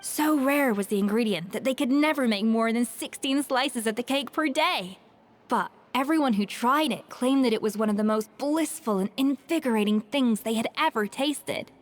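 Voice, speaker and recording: Woman playing a proud, high-voiced chef. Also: normal speed, natural voice. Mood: storytelling. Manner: haughty, precise, lecturing. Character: proud, exacting female chef, self-assured and blunt. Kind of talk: video game voice acting.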